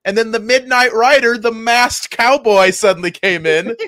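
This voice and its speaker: A man's voice: extremely high pitch, normal speed, bright, forceful tone, no echo, studio quality. A man chatting casually as a co-host on a podcast. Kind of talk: casual chat.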